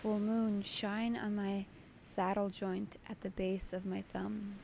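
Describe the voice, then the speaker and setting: A woman leading a guided meditation as its host, giving soothing, deliberate instructions in short phrases. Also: mid-range voice, level tone, creaky, background noise.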